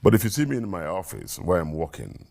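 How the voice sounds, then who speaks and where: A man's voice: low-pitched, wildly swooping pitch, fast, gravelly, a little mellow. A man talking in a casual interview, earnest, often repeating words as he thinks. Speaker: guest.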